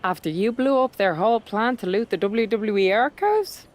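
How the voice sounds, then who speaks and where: A woman's voice: mid-range voice, moderate pace, lightly acted. A woman playing a determined, headstrong wrestler in a game cutscene, firm and earnest. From Dublin, Ireland. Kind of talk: video game cutscene dialogue.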